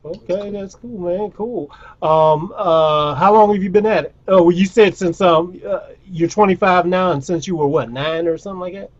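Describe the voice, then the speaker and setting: A man hosts a casual chat over a video call, laid-back and encouraging. His voice sits fairly high for a man. The sound is dull and lo-fi, like video call audio. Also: normal speed. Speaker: host.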